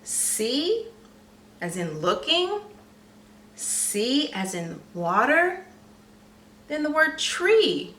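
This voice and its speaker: A woman reading a children's storybook aloud in a teacherly, expressive way, pausing to question and explain. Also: sits high, slight background noise. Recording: roomy, echoey, slightly distant microphone.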